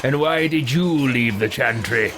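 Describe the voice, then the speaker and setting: A man's voice: mid-range voice, unhurried, heavy background noise, lightly acted. A man voicing a grandiose, irascible, imperious wizard from another world in a video game roleplay. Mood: imperious questioning.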